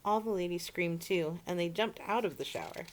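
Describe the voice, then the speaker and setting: A woman reading a children's storybook aloud in an expressive, animated way, as a storyteller for children. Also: fairly low pitch, normal speed, a little hiss or hum. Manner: expressive, acts out the dialogue.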